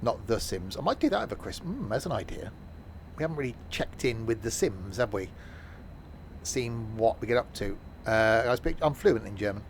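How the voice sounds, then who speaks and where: A man's voice: on the low side, expressive, moderate pace, slight background noise. A man streaming a train simulator, chatting casually in a rambling, self-mocking way. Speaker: streamer playing a train sim. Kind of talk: casual gameplay commentary.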